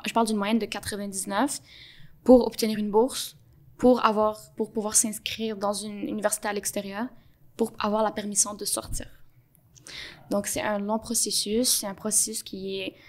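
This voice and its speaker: A young adult woman from Montreal with a Quebec French accent, answering interview questions in an earnest, explanatory way with frequent pauses. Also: mid-range voice, flat delivery, a little mellow. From Montreal, Canada. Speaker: podcast guest.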